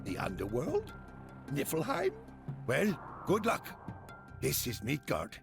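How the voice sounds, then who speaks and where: A man playing a small, excitable dwarf smith in a dramatic video game cutscene, blustery in manner. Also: a little high, slow pace, gravelly, over music, exaggerated voice. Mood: uneasy, wry.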